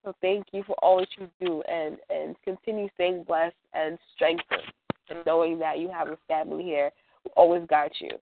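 A woman's voice: lowish voice, steady tone, normal speed, vocal fry, slight background noise. A woman giving heartfelt, supportive talk on a radio show, repeating phrases for emphasis. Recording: narrow phone-like call-in line.

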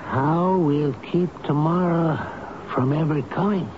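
An actor playing a gentle, stubborn, folksy elevator operator of seventy in a radio drama, rambling and halting as he talks. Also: on the high side. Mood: eager, devoted. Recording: noisy, dull vintage radio broadcast.

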